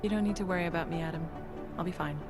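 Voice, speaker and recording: A woman playing a composed colleague in a video game, wry and measured. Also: lowish voice, fairly even intonation, natural voice. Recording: music behind the voice.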